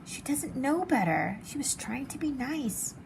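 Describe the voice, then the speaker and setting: Lady reading as a kind storybook mom, reassuring, highish voice, little bit of noise. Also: big pitch swings, a little slow, gravelly, darkish tone, heavily acted, poor audio. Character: gentle, encouraging mother of a little girl.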